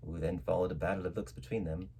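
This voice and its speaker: A man reading a classic novel aloud as a dramatic storyteller, with theatrical, expressive emphasis. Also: low-pitched, fast, creaky, breathy edge.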